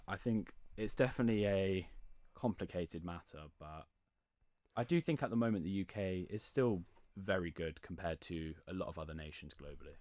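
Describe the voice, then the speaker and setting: A man speaking as a podcast guest, explaining his views conversationally in a measured, tentative way, thinking aloud. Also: low voice, dry recording.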